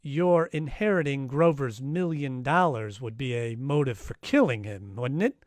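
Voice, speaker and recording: An actor playing a wry, tough private detective, narrating and questioning in an old-time radio crime drama. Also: male voice, medium pitch, animated, normal speed, a little mellow, dry recording, close to an everyday voice. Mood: sardonic, accusing. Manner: wry, matter-of-fact.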